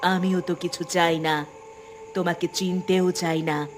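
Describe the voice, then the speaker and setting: A vengeful female cartoon ghost, played by a woman, hungry for blood and full of menace. She speaks slowly, drawing out her taunts and cackling, with noisy ambience around her. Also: on the low side, little pitch movement, close to an everyday voice. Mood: taunting menace. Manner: slow, taunting delivery with cackling. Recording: background noise and ambience.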